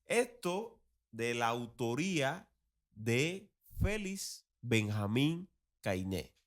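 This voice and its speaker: A man with a Cuban accent hosting an explanatory history talk, phrasing things in a deliberate, didactic, emphatic way. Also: a little high, fairly bright tone. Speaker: podcast host.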